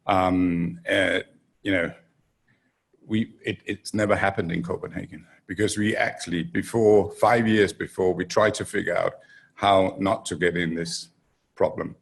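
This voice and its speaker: Gravelly low-voiced Danish man. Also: fairly even intonation, a little slow. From Denmark. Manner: loose, rambling with many fillers and restarts. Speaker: panelist. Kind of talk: conference panel talk. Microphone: handheld mic.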